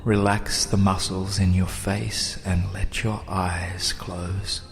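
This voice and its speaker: A man narrating a scripted guided meditation in a soothing, measured manner, with long pauses and soft, breathy phrase endings. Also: deep voice, flat delivery, soft background music.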